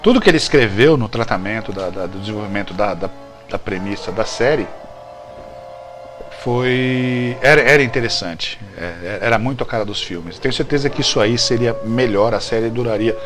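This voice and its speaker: A man's voice: fairly low pitch, normal speed, over music. A Brazilian man hosting a casual, colloquial chat show, repeating himself for emphasis. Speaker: host. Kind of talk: casual informal chat about old TV series.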